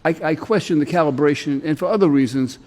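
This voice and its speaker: A man's voice: a little high, fast, a little mellow. An older man speaking as a judge in a courtroom, questioning people in an informal, teasing way and repeating their answers back. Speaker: judge presiding in a municipal court show.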